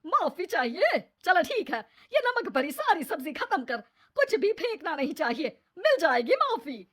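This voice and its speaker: A woman acts out a middle-aged cartoon mother-in-law, cruel and sharp-tongued. Her high voice swings widely as she scolds and taunts, bossing everyone around. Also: big pitch swings, a little slow, exaggerated voice. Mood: scornful scolding. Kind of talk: moral-story cartoon voice acting.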